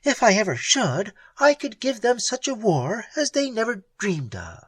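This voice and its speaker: A woman's voice: fairly high pitch, very animated, normal speed, breathy edge, no echo, voice pushed up. A reader voicing Perry, a fierce woman pirate. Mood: defiant. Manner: fierce, blazing, sarcastic. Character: fierce young woman pirate captain, vengeful. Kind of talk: audiobook dialogue.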